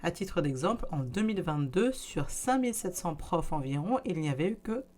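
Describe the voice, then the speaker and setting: A woman's voice: on the low side, animated, moderate pace, darkish tone. A young adult woman hosting a casual vlog, explaining things in a chatty way straight to her viewers. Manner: chatty, conversational, addresses viewers directly. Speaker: YouTuber giving practical advice.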